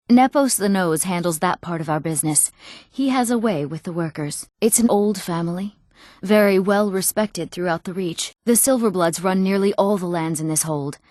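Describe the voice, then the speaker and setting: An actress voicing young townswomen and nobles in a fantasy video game, with earnest, clearly spoken scripted lines. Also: female voice, lowish voice, a little mellow, dry recording, clean studio sound, lightly acted. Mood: polite, brisk. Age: young adult.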